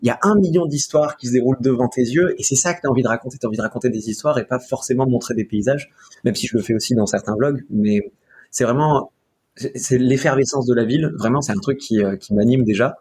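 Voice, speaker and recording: A man's voice: medium pitch, quick pace, darkish tone, breathy edge. A young man from Marseille talking casually as an interview guest about his video work, rambling with many fillers and restarts. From France, Marseille.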